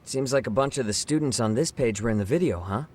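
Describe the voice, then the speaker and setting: A man's voice: mid-range voice, quick pace, a little mellow, a little hiss or hum, lightly acted. An actor playing an easygoing, curious male sidekick in a video game, talking casually and asking questions.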